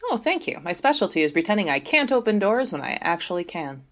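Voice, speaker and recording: Woman acting a quirky wry coworker, audio drama, lowish offhand lady voice, slightly muffled. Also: sing-song, fast. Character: wry, evasive, quirky female college colleague.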